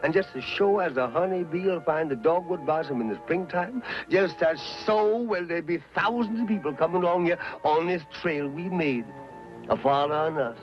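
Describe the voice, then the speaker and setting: A man's voice: on the high side, moderate pace, close to an everyday voice. A man playing a folksy Southern frontier preacher, muffled soundtrack. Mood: fervent, preaching. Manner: folksy, sermonizing, rustic speech. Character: folksy frontier preacher, earnest, pious, talkative soul-saver. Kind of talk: Western film acting. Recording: muffled old film soundtrack with music.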